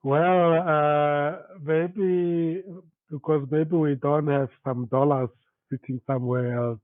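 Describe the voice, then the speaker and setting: A man leads an informal training session over a thin, phone-like connection. His voice is slightly rough, with a close, dry sound. He speaks haltingly, often repeating words as he puts questions to the group. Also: mid-range voice, no room sound. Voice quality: slightly rough voice from recent flu. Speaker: instructor leading an online training session. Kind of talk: informal lecture with questions to participants. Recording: thin phone-like call audio.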